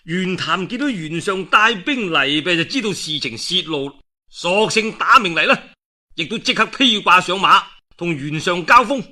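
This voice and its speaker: 粵語男講古佬，聲音明亮有力，抑揚頓挫. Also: male voice, high-pitched, moderate pace. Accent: Guangdong Cantonese. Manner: colloquial, expressive, dramatic with frequent emphatic particles. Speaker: storyteller of a classical historical novel. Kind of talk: animated traditional oral storytelling narration.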